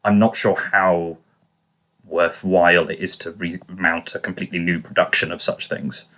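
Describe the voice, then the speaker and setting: A man with a low but bright, forward voice co-hosts a casual discussion. He picks his words with some hesitation, offering views in a measured, politely tentative manner. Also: steady tone. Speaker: podcast co-host.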